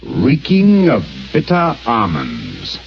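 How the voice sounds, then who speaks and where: An actor playing a brilliant, composed detective, speaking in a measured, precise and authoritative way in a 1940s radio play. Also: male voice, medium pitch, wildly swooping pitch, creaky, slight background noise, full character voice. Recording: muffled old radio broadcast.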